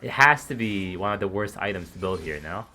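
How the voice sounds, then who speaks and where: A man casually commentating a live esports match on his stream, relaxed and laughing often. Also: low-pitched, fairly quick.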